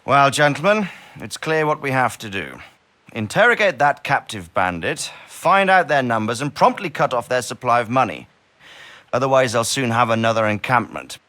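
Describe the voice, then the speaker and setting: An actor playing a steady, fatherly and commanding medieval lord in a dramatic game cutscene. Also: mid-range voice. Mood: decisive. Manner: measured, authoritative, encouraging.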